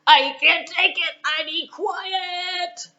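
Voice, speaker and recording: A woman voicing an exasperated male farmer in a children's storybook tale, whining and pleading in drawn-out, flustered complaints. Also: very high voice, fairly even intonation, pushed and bright, dull audio, pitched above the performer's own voice, exaggerated voice. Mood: frantic. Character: exasperated, whiny male farmer desperate for quiet.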